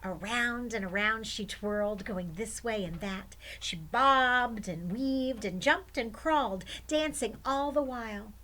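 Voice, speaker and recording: A woman's voice: a little high, a little slow, few pauses, sharp tone, rough recording. A woman storyteller reading expressively to children, with chatty asides.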